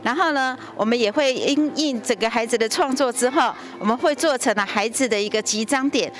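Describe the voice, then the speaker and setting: High-pitched Taiwanese woman in an interview. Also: fairly quick. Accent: Taiwanese Mandarin. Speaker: education official. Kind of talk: interview explanation. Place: large indoor lobby. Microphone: handheld news microphone, speaking through face mask.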